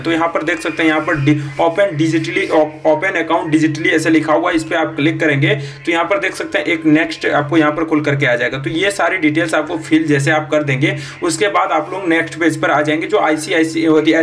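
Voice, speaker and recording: A man narrates a phone app tutorial over a screen recording. He talks fast, in quick runs, and his voice sits a little high for a man. His manner is chatty, and he keeps calling his viewers "friends" and repeating "you can see here". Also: fairly bright tone. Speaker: YouTube tutorial host.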